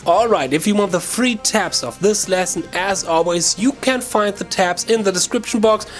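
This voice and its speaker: A man with a German accent hosting a guitar lesson, talking to viewers in an informal, chatty way with halting self-corrections. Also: high-pitched. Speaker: guitar teacher and video channel host. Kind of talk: casual video lesson talk to viewers.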